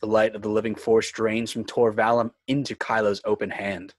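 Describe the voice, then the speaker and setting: A man's voice: on the low side, little pitch movement, normal speed, no room sound. A man working as narrator and host of a fan script reading. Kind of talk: reading screenplay directions, host outro. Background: music.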